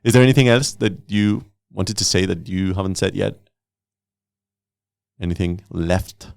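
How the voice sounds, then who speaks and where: A man hosting a podcast and interviewing guests in a casual, conversational way, with a gravelly voice. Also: low-pitched, normal speed, darkish tone, no echo, studio quality.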